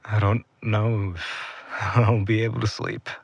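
A man's voice: fairly low pitch, level tone, a little slow, gravelly, slightly rough, no room sound, muffled recording, full character voice. A man acting in an audio drama as an earnest, exhausted and protective older brother, speaking with feeling. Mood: weary, tender.

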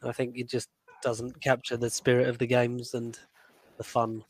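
A man's voice: mid-range voice, monotone, moderate pace, low-quality recording. A man talking casually as a podcast panelist. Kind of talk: casual chat.

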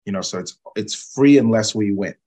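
A man from Zambia with a notably deep, low voice, speaking as a guest on an interview. He explains legal matters in a measured, patient way, often pausing to check in with "right?" and "you know.". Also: dark tone. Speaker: personal injury attorney.

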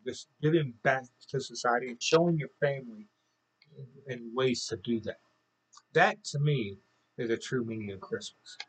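A man co-hosting a faith podcast, talking casually and off the cuff in halting phrases. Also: mid-range voice, breathy edge, rough recording. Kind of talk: casual unscripted talk.

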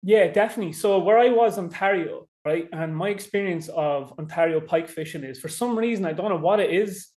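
A man's voice: high-pitched, a little fast, few pauses, darkish tone. A man with an Irish accent, a podcast guest talking casually and rambling, with lots of "you know" and "like". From Ireland. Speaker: podcast guest, fishing guide. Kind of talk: casual interview chat.

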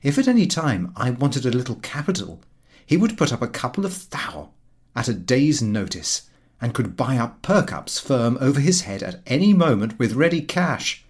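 Man acting a brash, boastful young man, swooping pitch. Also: big pitch swings, moderate pace, a little mellow, husky. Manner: emphatic, boastful. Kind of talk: audiobook character voice.

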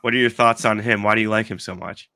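Stuffy-nosed man, fairly low voice, casual sports pod host, rambling chat. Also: fairly even intonation, quick pace, pushed and bright, clean tone, no room sound, clean studio sound. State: congested from allergies. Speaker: podcast host.

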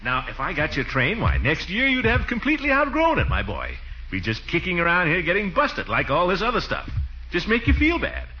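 Casting: blustery, pompous but tender-hearted uncle, highly animated delivery, vintage radio sound. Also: male voice, a little fast, slight background noise, heavily acted. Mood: exasperated, coaxing. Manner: blustering, sighing, swings from scolding to sheepish. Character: blustery, pompous, soft-hearted middle-aged uncle raising his nephew. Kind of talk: 1940s radio comedy acting. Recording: dull 1940s radio recording.

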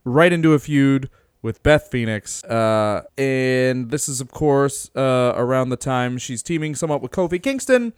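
Podcast co-host, male, smooth clear tone, dry close sound, laughs a lot. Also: medium pitch, unhurried, dry recording. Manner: laughs often, animated storytelling. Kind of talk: casual podcast chat.

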